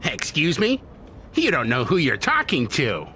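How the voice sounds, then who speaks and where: A man's voice: a little high, wildly swooping pitch, measured pace, sharp tone, slight background noise, exaggerated voice. A man playing a nervous, evasive elderly administration clerk, hesitant and defensive, in an audio drama. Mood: defensive, offended. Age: older.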